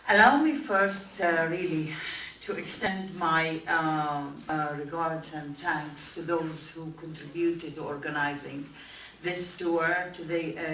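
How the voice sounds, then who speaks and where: A woman guest speaker opening her speech to an audience, gracious and unhurried, with fillers. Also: low voice, a bit bright. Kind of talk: public speech. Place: museum event room with audience. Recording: echoey room from far off, faint noise.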